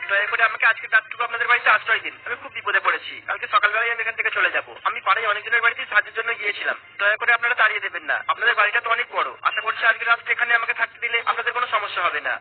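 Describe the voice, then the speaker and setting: A man's voice: high-pitched, very fast, hardly any pauses, slightly rough. A man voicing a young, earnest officer. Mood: pleading. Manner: pleading, polite. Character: young male collector officer, polite and earnest. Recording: tinny phone-like sound.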